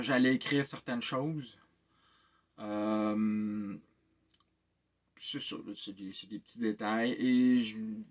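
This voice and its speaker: A man with a Québécois French accent, giving a casual, rambling vlog update as a tabletop game master. He sounds very tired and hesitates often, with many filler sounds and repeated words. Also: medium pitch.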